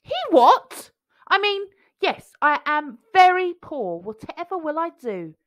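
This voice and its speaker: A woman's voice: high voice, very animated, slow pace, fairly bright tone, voice pushed up, heavily acted. A woman voicing a grumpy little male pea villain in a storybook, snapping indignantly.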